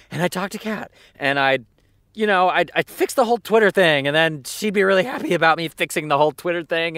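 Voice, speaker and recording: An actor playing a flippant, sleepless, hard-drinking showrunner who rants sarcastically in naturalistic audio drama dialogue. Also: male voice, on the high side, bright, forceful tone, no room sound, clean studio sound. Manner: sarcastic, theatrical ranting.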